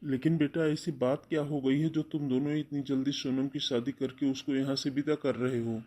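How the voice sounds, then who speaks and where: A man voicing a gentle, powerless ageing father, soothing and yielding, in a cartoon family melodrama. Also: medium pitch, steady tone, normal speed, close to an everyday voice. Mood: questioning.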